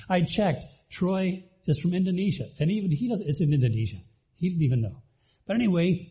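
Man with a dark, husky voice teaching. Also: medium pitch. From Bahamas. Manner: conversational, asks the audience questions. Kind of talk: church teaching talk to a live congregation.

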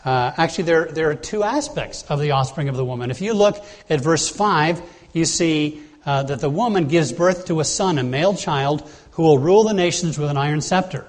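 A man's voice: fairly high pitch, moderate pace. A man preaching an expository sermon, explaining scripture verse by verse in a plain teaching tone with frequent "uh"s and restarts. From Bahamas.

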